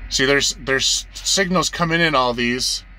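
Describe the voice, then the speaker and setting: A man with a bright, forward voice at an ordinary middle pitch gives an informal tutorial. He talks like a working trucker, plain and practical, going through instructions step by step. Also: unhurried, poor audio. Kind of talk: casual hands-on explainer. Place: inside a truck cab.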